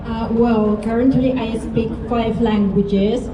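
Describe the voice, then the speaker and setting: A woman's voice: a little high, steady tone, slow, lo-fi sound. A Polish-accented woman contestant answering on stage, hesitant and full of fillers. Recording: echoey PA sound, crowd behind.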